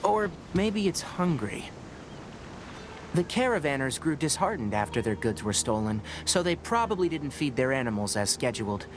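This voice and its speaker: An actor playing a calm, scholarly young forest ranger in a video game, measured and patient as he explains. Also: mid-range voice, noisy background, natural voice. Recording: game audio with clear ambience.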